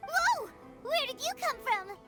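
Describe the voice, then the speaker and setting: A woman voicing a small, excitable female fairy companion in a video game, with a squeaky manner. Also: measured pace, loud music behind the voice, heavily acted. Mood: excited. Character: tiny chirpy floating fairy companion, female.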